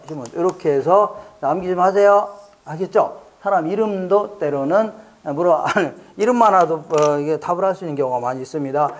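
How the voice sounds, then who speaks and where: A man from the Chungcheong region teaching an exam prep lecture, playful and jokey, quizzing listeners with rhetorical questions and answering them himself. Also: high-pitched. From Chungcheong region, Korea. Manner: playful, jokey, drills mnemonics by repetition.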